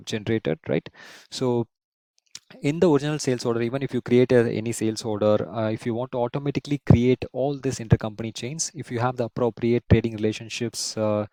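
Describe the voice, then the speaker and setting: A man giving an unscripted software tutorial as an instructor, explaining step by step and often repeating and rephrasing himself. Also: mid-range voice, no room sound.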